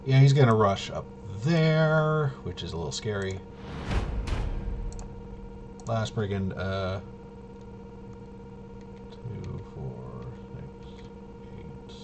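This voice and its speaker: A man thinking aloud through solo tabletop game commentary, casual and halting, often muttering to himself as he works things out. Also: fairly low pitch, creaky, background noise. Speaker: tabletop RPG player and presenter.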